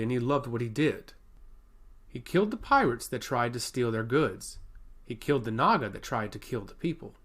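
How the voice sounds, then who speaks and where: Man, fantasy storyteller, dramatic scripted delivery, very lively intonation, mid-pitched voice.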